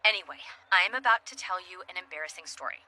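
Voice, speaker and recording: A blunt, lively anime schoolgirl. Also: fairly low pitch, fast. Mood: brash. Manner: brusque and candid. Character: blunt, sharp-tongued teenage schoolgirl. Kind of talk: anime English dub acting.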